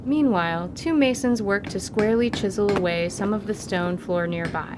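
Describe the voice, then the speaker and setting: A woman's voice: mid-range voice, normal speed. A woman delivers scripted dramatic narration as the storyteller of a fantasy audio play. Noticeable noise runs behind her voice.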